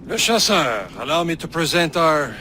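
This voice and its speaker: An actor playing a shrewd colonial-era ship captain in a video game, formal and measured in his speech. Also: on the high side, sing-song, bright, forceful tone, a little hiss or hum. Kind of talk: dramatic video game cutscene acting. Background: music.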